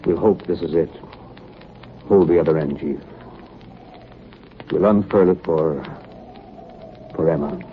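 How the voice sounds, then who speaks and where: An actor playing a steadfast, grave Arctic expedition captain in a 1930s radio drama, speaking in a measured, commanding way. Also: male voice, rumbling low. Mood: quietly solemn. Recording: muffled, noisy 1938 radio broadcast recording.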